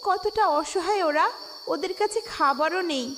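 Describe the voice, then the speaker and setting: Woman as a reverberant, high-voiced water fairy. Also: normal speed. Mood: pitying. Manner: pitying, musing. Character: kind, compassionate female water fairy. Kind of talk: cartoon voice acting. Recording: distant, long reverb, noisy night ambience.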